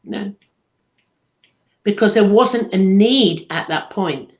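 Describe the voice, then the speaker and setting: A woman's voice: medium pitch, slow, darkish tone. A woman with a posh southern English accent, chatting casually as co-host of a live chat show, calling people darling. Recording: echoey room, dull lo-fi sound.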